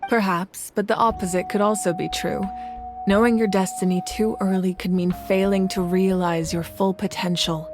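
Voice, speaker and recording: Woman voicing composed goddess ruler in a game, formal and resolute, medium pitch, music underneath. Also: fairly even intonation, moderate pace, close to an everyday voice. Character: composed, solemn warrior goddess leading her nation. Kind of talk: scripted video game dialogue.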